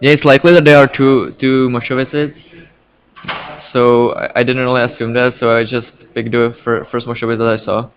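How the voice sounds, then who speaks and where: A man with a Czech accent casually commentating live on a card game, haltingly and often repeating his words. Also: medium pitch, level tone. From Czech Republic. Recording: dull, lo-fi stream audio.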